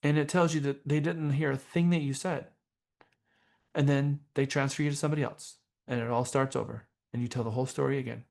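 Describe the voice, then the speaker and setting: A man teaching a professional class as a trainer, speaking in a deliberate, emphatic way with rhetorical questions. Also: medium pitch, steady tone, vocal fry, darkish tone. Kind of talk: motivational training seminar talk.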